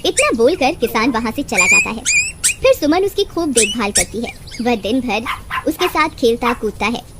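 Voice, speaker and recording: Female storyteller for scripted children's tales; high pitch, very expressive intonation. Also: moderate pace, very noisy.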